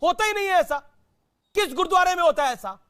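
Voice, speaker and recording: A man's voice: extremely high pitch, expressive, fast, fairly bright tone. A man moderating a TV debate combatively, firing insistent, repeated questions. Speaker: TV debate host.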